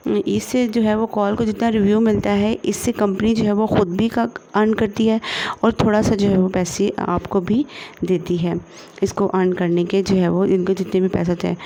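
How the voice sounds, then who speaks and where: A Pakistani woman gives an informal tutorial in a mellow, fairly flat voice. Her delivery is halting and padded with repeated filler phrases. There is light background noise with some clicking on the recording. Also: medium pitch. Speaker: tutorial presenter. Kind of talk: informal screen-share tutorial.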